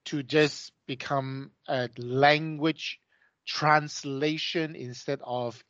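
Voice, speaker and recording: A participant in a casual group discussion, mostly murmuring agreement before offering a measured point. The recording is dull and dry, with no room sound, and the voice sits at a middle pitch. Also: steady tone, fairly bright tone, no room sound. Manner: brief agreeing backchannels, then measured comments.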